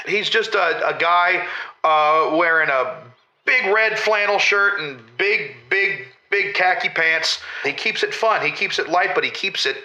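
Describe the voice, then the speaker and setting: A man playing an earnest, chipper convention organizer calling in to a comedy radio show, pitching like a salesman. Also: measured pace, pushed and bright, full character voice. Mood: earnest enthusiasm. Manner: earnest salesman patter with hesitant fillers. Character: earnest, chipper male convention organizer promoting a prudish community. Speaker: caller. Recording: roomy, echoing voice pickup.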